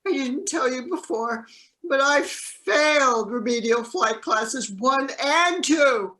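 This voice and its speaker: A woman's voice: high voice, lively intonation, slow pace, sharp tone, full character voice, low-quality recording. A woman acting as a naive, nervous fledgling seagull in an amateur virtual play, earnest and wide-eyed. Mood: panicked.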